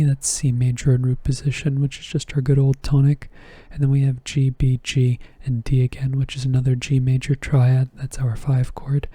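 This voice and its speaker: A man with a strained, slightly hoarse voice explaining harmony on a score at his desk, thinking aloud and correcting himself. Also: medium pitch, mellow, no echo. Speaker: music theory teacher. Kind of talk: casual music theory lesson. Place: indoors at a desk.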